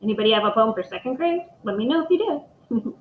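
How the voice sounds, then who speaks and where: Echoey, higher-voiced woman reading to children. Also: fast, a little hiss or hum. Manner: expressive storytelling, addressing the children directly. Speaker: elementary school teacher reading aloud to pupils. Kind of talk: picture book read-aloud to young children. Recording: echoey room, dull muffled microphone.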